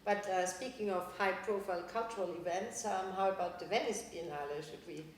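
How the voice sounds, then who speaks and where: A woman's voice: mid-range voice, a little fast, slight background noise. A woman, speaking as a curator and interviewer in a conversational art discussion. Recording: very echoey room.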